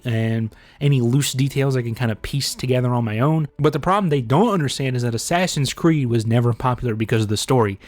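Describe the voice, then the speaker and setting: A man's voice: medium pitch, no room sound. A man giving casual, opinionated video commentary in a blunt, sarcastic, sweary manner.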